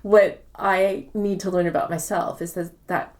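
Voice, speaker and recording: Female author in interview; low-ish, very soft-edged mellow voice, articulate step-by-step explainer. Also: flat delivery, a little slow, slight background noise.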